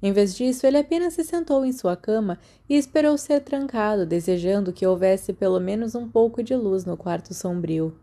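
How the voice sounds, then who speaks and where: A Brazilian woman narrates fiction in a mellow, relaxed voice at a medium pitch. She reads from a script in the style of an audiobook. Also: moderate pace, hardly any pauses. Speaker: podfic narrator.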